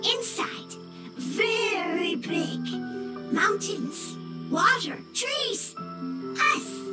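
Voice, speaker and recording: A tiny, extremely high-voiced fungus creature from a video game. It is gentle and simple, dragging out halting fragments very slowly. Loud music plays underneath. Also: female voice, animated, full character voice. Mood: earnest, simple. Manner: halting broken phrases, slow.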